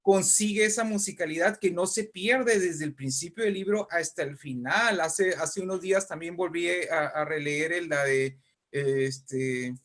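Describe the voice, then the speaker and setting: A man with a fairly high voice speaks as an interview guest. He is a writer telling literary anecdotes conversationally, effusive and emphatic, often repeating his words for weight. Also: a little slow, fairly bright tone.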